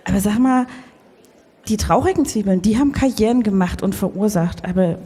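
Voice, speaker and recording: A woman's voice: mid-range voice, fairly quick, dark tone, breathy edge, natural voice. A woman improvising a comedy radio play as an admiring, inquisitive career woman. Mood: curious, probing. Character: gushing, probing career woman, admiring of fellow stars. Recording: echoey hall, live workshop performance.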